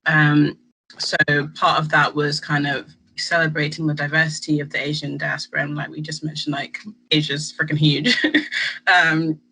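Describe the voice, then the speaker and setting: Bright, husky young woman chatting. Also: low-pitched, level tone, moderate pace, low-quality recording. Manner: rambling, lots of fillers and laughs. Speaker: student union vice president. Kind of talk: casual chat while eating snacks.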